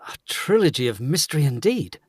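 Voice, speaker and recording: A man playing a curious, courteous young gentleman in a gothic audio drama. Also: a little high, sing-song, fairly quick, husky, no echo, studio quality. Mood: intrigued.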